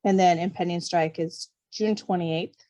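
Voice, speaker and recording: A woman's voice: lowish voice, fairly even intonation, moderate pace, warm tone, muffled recording. A woman chatting casually and expressively as an author guest in an informal interview. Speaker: podcast guest, novelist and kindergarten teacher. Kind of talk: casual chat.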